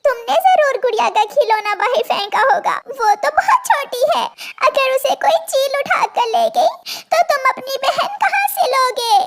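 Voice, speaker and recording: Woman as a mother bird, very high voice, expressive intonation, motherly, gently admonishing, children's cartoon. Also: measured pace, few pauses, heavy background noise, full character voice. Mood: upset. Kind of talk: children's cartoon story voice acting.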